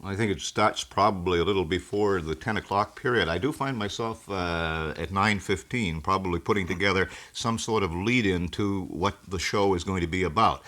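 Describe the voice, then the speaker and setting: An American man, a radio station manager and host, giving wordy, halting, explanatory answers in an unscripted interview. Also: low voice, fairly bright tone.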